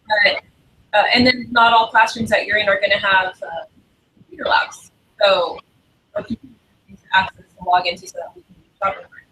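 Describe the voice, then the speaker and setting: A woman academic advisor explaining things to students on a livestream in a relaxed, hesitant way. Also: fairly high pitch, recorded from a distance, slight background noise, rough recording. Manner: relaxed, hesitant, many fillers. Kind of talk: casual livestream talk to new students.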